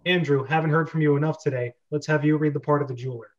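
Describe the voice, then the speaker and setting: A man's voice: a little high, fairly even intonation, very fast. A young man hosting a script reading club, reading stage directions and chatting casually. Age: young adult. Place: bedroom on a video call. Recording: dull lo-fi webcam audio.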